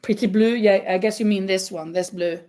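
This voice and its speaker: A woman's voice: medium pitch, fairly even intonation, brisk, dark tone. A woman with a non-native English accent, hosting a casual crochet live stream and chatting with viewers. She talks loosely, laughs often and stops to search for words.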